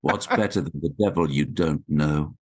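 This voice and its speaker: A man voicing a sly, sinister devil from a video game, with a gravelly voice. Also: a bass voice, moderate pace, loud music behind the voice. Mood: sly menace. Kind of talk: video game character acting.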